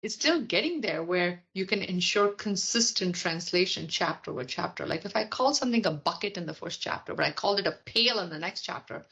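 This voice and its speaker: A woman from India with a softened Indian English accent, a guest on a podcast interview, explaining at length and acting out quotes with animation. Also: lowish voice, a little fast, few pauses, poor audio. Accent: Indian English, softened toward American. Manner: animated storyteller, acts out quotes. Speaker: product leader guest.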